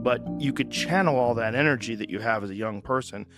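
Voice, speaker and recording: A man with a medium-pitched voice talks casually, as on a podcast, giving advice. Loud music runs over his speech the whole time. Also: fairly quick.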